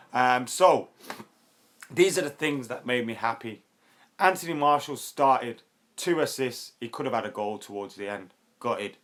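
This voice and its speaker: A young man with a hoarse, worn voice, hosting a live football chat stream, chatty and excitable and quick to laugh. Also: mid-range voice. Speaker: YouTube football channel host.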